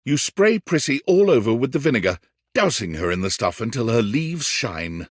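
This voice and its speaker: A whimsical storybook narrator for a video game, with a husky voice in a very dry, close recording. His delivery is theatrical and lively in pitch, wry yet tender, with carefully weighed phrasing. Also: moderate pace, dry recording. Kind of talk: scripted second-person storybook narration.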